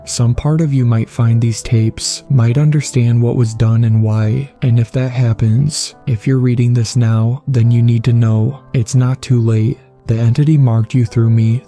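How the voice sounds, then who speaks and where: A man's voice: mid-range voice, dark tone, lightly acted. A man voicing a guilt-ridden father and secret paranormal investigator, grave and confessional, in a horror story reading. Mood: sorrowful confession. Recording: music behind the voice.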